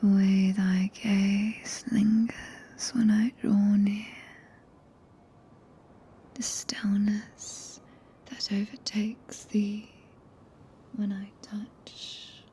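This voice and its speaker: A woman with a British accent voicing a tender, possessive, regal witch in a breathy ASMR roleplay whisper, speaking archaic English in a soothing, commanding manner, close to a binaural microphone. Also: mid-range voice, flat delivery, extremely slow, pauses often, warm tone, exaggerated voice. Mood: teasing, intimate.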